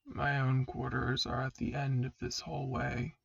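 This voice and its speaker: Stern male dark lord for audiobook, played by a woman: heavily creaking voice, commanding, terse, formal, slightly dull recording. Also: extremely low pitch, level tone, voice pushed down, exaggerated voice. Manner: formal, clipped, deliberate. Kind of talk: fan fiction audiobook reading.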